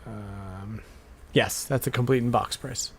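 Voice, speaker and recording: A man's voice: fairly low pitch, steady tone, slow, a little hiss or hum. A man co-hosting a casual podcast, chatting playfully and sometimes muttering to himself in mock exasperation. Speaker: podcast co-host. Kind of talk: casual podcast chat about retro games.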